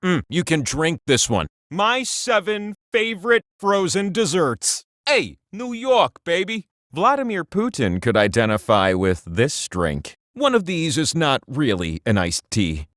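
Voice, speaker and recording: Animated, high-pitched cocky game-show host. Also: male voice, a little slow, hardly any pauses, no echo, clean studio sound. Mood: playful, wry, then cocky, teasing. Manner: theatrical, wry, mock-pompous asides. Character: talking game-show wheel, cocky, playful know-it-all male host. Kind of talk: comic quiz-game hosting.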